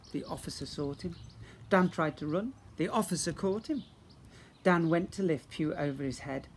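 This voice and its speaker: A man's voice: on the high side, a little hiss or hum. A man reading a rhyming storybook aloud to young children like a teacher, theatrically and with asides to his listeners.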